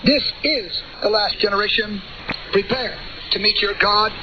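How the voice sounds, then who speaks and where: A man with an extremely high voice proclaims a fervent religious radio intro in grand, declamatory phrases. The shortwave sound is dull and clearly noisy. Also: unhurried. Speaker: religious broadcast announcer.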